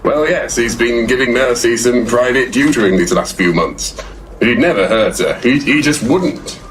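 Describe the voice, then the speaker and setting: A man's voice: medium pitch, normal speed, fairly bright tone, a little hiss or hum, close to an everyday voice. An actor playing a protective, earnest old grandfather who is easily flustered, in an audio play. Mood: flustered, indignant. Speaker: actor in an audio comedy drama.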